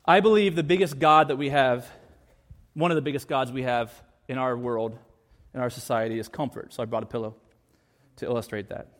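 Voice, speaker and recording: A man's voice: mid-range voice, steady tone, a little fast. A man from the United States preaching a sermon in church, earnest and repeating key phrases for emphasis. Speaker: pastor.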